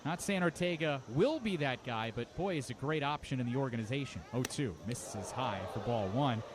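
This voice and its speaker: A man doing live radio play-by-play of a baseball game as the announcer, talking conversationally between pitches and lifting his voice for action. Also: expressive, normal speed. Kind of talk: live radio sports commentary. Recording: live ballpark broadcast with loud background noise. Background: outdoor ballpark ambience.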